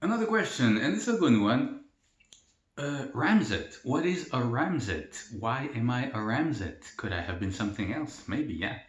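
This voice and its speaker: A young man from El Salvador, a mukbang vlogger, answering viewer questions in a relaxed, rambling way with many fillers. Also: mid-range voice, moderate pace. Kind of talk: casual Q&A while eating. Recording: roomy echo.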